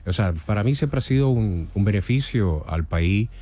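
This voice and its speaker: A man's voice: lowish voice, expressive, moderate pace, dark tone. A man in his fifties from Cuba with a Cuban accent, a guest architect in a radio interview who talks with many fillers and restarts. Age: 50s.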